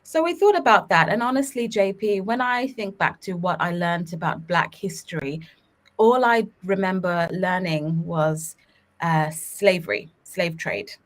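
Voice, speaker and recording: A woman's voice: lowish voice, unhurried. Reflective woman in her thirties. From Uganda. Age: 30s. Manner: warm, reflective, many fillers. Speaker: guest, startup co-founder. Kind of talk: casual interview talk. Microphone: video call.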